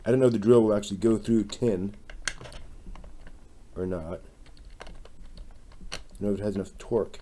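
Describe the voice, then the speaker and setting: Low-voiced man musing aloud, noisy recording. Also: steady tone, moderate pace, pauses often, warm tone. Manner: tentative, musing, trailing off. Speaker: host of a live craft stream. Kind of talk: casual hands-on demonstration, thinking aloud.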